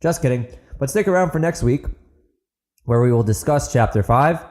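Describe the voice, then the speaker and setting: A man's voice: medium pitch, dark tone. A man narrating fiction and hosting his own podcast, in the style of a storyteller addressing listeners. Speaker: author, podcast host and narrator. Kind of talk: dramatic audiobook narration and podcast outro.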